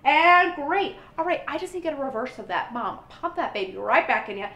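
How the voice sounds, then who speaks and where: A young woman playing a pretentious female photographer, brisk and demanding as she gives directions in a comedy sketch. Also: sits high, bright, forceful tone, echoey room. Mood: urgent. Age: young adult. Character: pretentious, bossy female delivery-room photographer.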